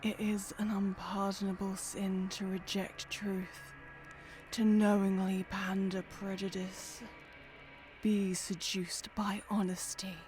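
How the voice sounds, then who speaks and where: A woman playing a cruel, commanding military lieutenant in an audio drama, imperious and deliberate. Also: medium pitch, level tone, music in the background, lightly acted. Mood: cold, preaching.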